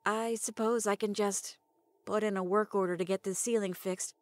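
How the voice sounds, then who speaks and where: A woman playing a wry, expressive semi-retired radio storyteller from an underground city in an audio drama. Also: medium pitch, normal speed, no echo, close to an everyday voice. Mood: wry, puzzled.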